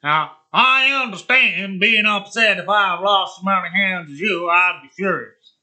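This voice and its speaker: A folksy Western gambler with a high, sharp, loud voice. He scolds with drawn-out words, like a character in a cowboy movie. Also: exaggerated voice. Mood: scolding.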